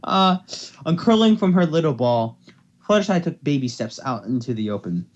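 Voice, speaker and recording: A man's voice: a little high, sing-song, moderate pace, highs cut off. A man hosting an amateur fan fiction reading, who stumbles over words and repeats phrases as he goes. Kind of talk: amateur dramatic story reading.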